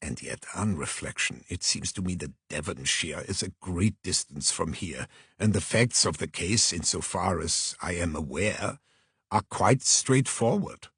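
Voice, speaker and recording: A man voicing a polite, deliberate private detective who speaks formally and precisely in an audiobook. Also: low-pitched, animated, a bit bright, breathy edge, dry recording, studio quality, exaggerated voice. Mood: thoughtful. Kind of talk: audiobook character voice.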